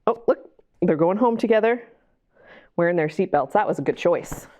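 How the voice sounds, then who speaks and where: A woman hosting storytime, reading a picture book to young children in an animated way, with chatty questions and asides to the listeners. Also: on the low side, normal speed. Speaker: library storytime host and reader.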